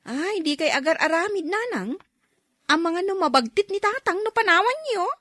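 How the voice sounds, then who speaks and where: A woman's voice: extremely high pitch, expressive, moderate pace, a bit bright, no room sound, clean studio sound. A woman playing a worried grown child pleading earnestly with a parent in a radio drama, with an Ilocano accent. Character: concerned grown child of a quarrelling mother, pleading.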